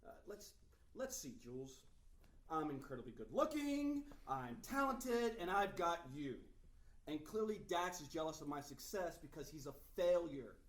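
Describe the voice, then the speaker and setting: A man's voice: a little high, sing-song, moderate pace. Man acting a vain, wounded boyfriend, echoey room. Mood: smug, defensive. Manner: self-important, dramatic. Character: vain, wounded boyfriend, self-pitying and theatrical. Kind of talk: table read acting of a comedy script. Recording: echoey room, distant mic.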